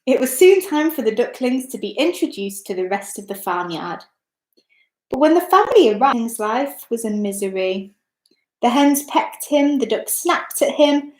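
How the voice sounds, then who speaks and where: Animated woman storyteller in a roomy space. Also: high voice. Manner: expressive, deliberate storytelling. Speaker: children's storyteller. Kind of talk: scripted children's story narration. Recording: echoey, roomy sound.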